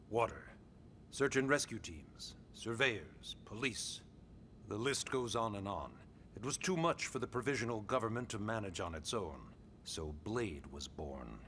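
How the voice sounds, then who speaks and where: Measured, low-voiced military commander. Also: male voice, fairly bright tone, slight background noise. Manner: measured, deliberate, with weighty pauses. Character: composed, authoritative military commander of a human colony. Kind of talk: video game cutscene acting, expository dialogue.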